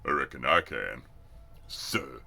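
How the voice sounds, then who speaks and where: A man voicing a big, cocky army recruit in a novel reading, with a slow, unhurried, folksy swagger. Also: a bass voice, animated, gravelly, bright, forceful tone, husky, pitched below the performer's own voice, full character voice.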